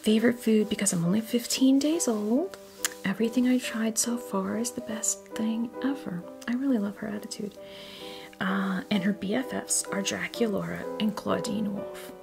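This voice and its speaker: An American woman speaking in a soft, breathy near-whisper, chatting casually and hesitantly in an ASMR style close to a sensitive microphone. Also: medium pitch, normal speed, music in the background. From United States. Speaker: ASMR video host. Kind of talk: ASMR, casual unboxing chat.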